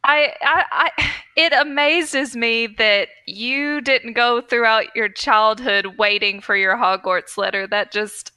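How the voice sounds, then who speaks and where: A woman's voice: on the high side, takes it slowly, pushed and bright, smooth, no echo. A woman co-hosting a podcast, chatting casually in an informal, slangy way. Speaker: podcast co-host. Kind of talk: casual chat.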